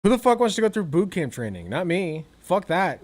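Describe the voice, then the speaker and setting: Man, reaction commentary, sarcastic, profane, quick asides, somewhat high voice. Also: sing-song. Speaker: political commentator reacting to a video.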